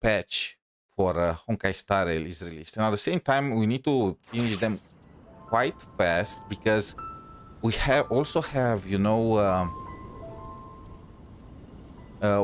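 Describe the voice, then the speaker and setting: A man giving casual live gameplay commentary as a streamer, talking in an informal, rambling, hesitant way. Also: lowish voice. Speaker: game streamer.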